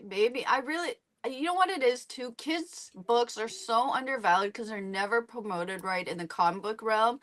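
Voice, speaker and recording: Middle-aged woman, higher-pitched voice, animated and chatty, talking about her own work as an interview guest. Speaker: interview guest, comic book artist. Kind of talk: casual interview chat.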